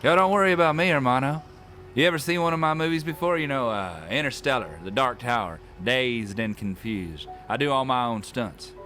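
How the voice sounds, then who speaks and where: A cocky male movie star, acted by a man, boasts in an easygoing way, with a bright forceful voice at middle pitch. It is radio drama with faint music. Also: animated, a little fast. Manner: boastful, laid-back.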